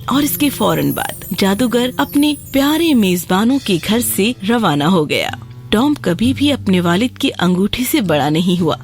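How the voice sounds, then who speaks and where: A woman narrating a scripted fairy tale for children as a storyteller. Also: on the high side. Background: background music.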